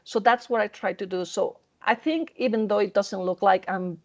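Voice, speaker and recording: A woman author answering interview questions over a video call, earnest and halting, often restarting her sentences. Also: mid-range voice, darkish tone, muffled recording.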